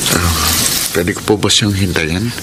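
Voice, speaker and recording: An actor playing a meek, patient young suitor with a Filipino accent and a deferential, hesitant radio drama delivery. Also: male voice, deep voice, steady tone, unhurried. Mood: polite, pleading. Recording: noisy radio drama with ambience.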